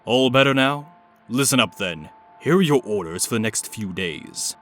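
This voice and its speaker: A man performs a cold comic-book mastermind of middle age. He gives orders in a measured, menacing way, with a lively range of pitch. Music plays behind him. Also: natural voice. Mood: smug, commanding. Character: cold, scheming middle-aged male villain, menacing and commanding. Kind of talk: dramatic comic dub acting.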